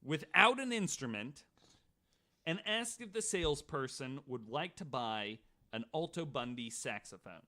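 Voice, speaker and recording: Bright-voiced man hosting a podcast. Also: medium pitch, animated, a little slow. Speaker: podcast host. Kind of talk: reading prepared true-crime notes with casual asides.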